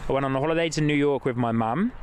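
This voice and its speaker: A man's voice: mid-range voice, very fast, a little hiss or hum. A man originally from the UK hosting a casual plane-spotting livestream, talking enthusiastically and chatting with viewers. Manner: enthusiastic, chatty, reads viewer comments aloud. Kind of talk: casual live commentary. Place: outdoors near an airport.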